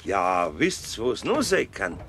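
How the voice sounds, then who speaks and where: An older Bavarian craftsman, teases slyly in a children's radio play. His voice creaks and swings widely in pitch, good-natured throughout. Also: huge pitch swings, slow pace, a bit bright, slight background noise, full character voice. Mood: slyly teasing. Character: older master carpenter, good-natured, sly and teasing.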